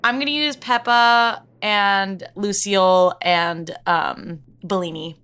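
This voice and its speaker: A woman's voice: mid-range voice, takes it slowly, fairly bright tone, smooth. A woman playing in a tabletop roleplay podcast, chatting casually and describing what her character does. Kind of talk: animated casual roleplay chat.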